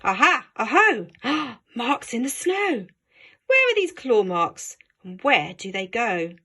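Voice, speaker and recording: A woman voicing a small, brave, curious young monster girl in a children's storybook, exclaiming excitably. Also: a little high, takes it slowly, breathy edge, heavily acted. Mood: excited, curious.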